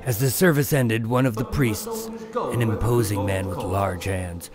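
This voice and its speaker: A man acting as a dry, wry undercover agent who narrates his mafia infiltration in a radio drama, in a measured, understated way. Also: on the low side, loud music behind the voice, natural voice.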